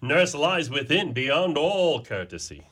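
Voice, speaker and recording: A man's voice: a little high, sing-song, slow pace, fairly bright tone, full character voice. An actor playing a wry, commanding noble father in a comic Shakespearean radio drama, pompous and sardonic. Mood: commanding, amused.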